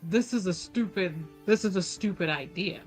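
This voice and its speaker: A woman's voice: on the low side, normal speed, soft background music. A woman playing in a tabletop roleplaying game, talking conversationally, partly in character.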